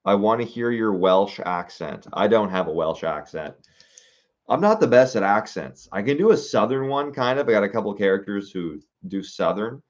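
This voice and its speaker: A man's voice: lowish voice, dull audio. A young man hosting a casual livestream, reading out viewer suggestions and thinking aloud with fillers and restarts. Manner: musing aloud, reading comments, halting with fillers.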